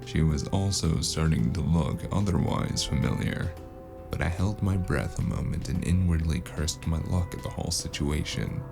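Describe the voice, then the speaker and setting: A man narrating a noir audio drama as the lead, dry and wry, with a deadpan manner, over a music score. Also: rumbling low, normal speed, gravelly. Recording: music score playing underneath.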